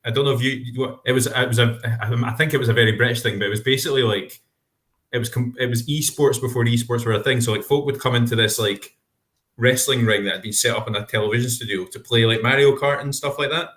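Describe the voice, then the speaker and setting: A man's voice: mid-range voice, steady tone, quick pace, fairly bright tone. A man co-hosting a podcast in casual chat over a video call, rambling through restarts and asides. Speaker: podcast co-host. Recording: echoey room.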